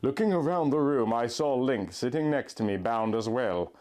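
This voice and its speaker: A man's voice: medium pitch, animated, normal speed, darkish tone. A man giving a theatrical, melodramatic reading of a first-person fan fiction story. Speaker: story reader, narrator.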